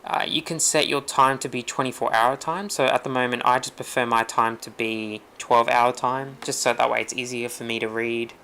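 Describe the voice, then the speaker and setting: Man, casual tech tutorial host, gravelly fry, easygoing and loose with plenty of ums. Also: medium pitch, normal speed, few pauses. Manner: relaxed, rambling, many ums. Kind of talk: casual screen-recorded walkthrough.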